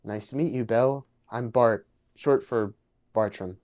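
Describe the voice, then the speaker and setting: A man's voice: mid-range voice, a little slow, a little mellow, highs cut off, close to an everyday voice. A man playing an awkward, chatty young man in a casual dramatic reading, hesitant and stammering. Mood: awkward, sincere. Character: awkward, chatty young man named Bartram on a blind date.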